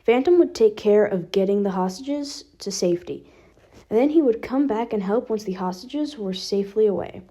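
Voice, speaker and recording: Female story reader, medium register, dark mellow tone, scripted narration. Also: medium pitch, animated, moderate pace. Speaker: podfic reader.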